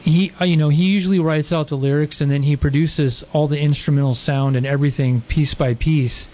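A man's voice: on the high side, steady tone, brisk, lo-fi sound. A man guesting on a radio show, talking in a casual, rambling way with lots of fillers. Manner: rambling, lots of ums and likes. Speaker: guest co-host.

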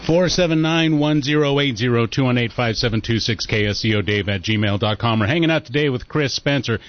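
A man hosting a laid-back radio talk show, chatting easily and running through news teasers. His voice sits at a middle pitch and comes through a somewhat dull, lo-fi recording. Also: fairly quick, no echo. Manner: relaxed, chatty, reads headlines.

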